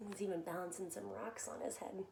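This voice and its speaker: A woman reading a picture book aloud to young children in an animated, expressive way, often talking directly to them. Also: medium pitch, brisk, vocal fry. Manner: animated, addresses listeners with simple questions. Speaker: children's story reader and soccer coach. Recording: echoey room, faint background noise.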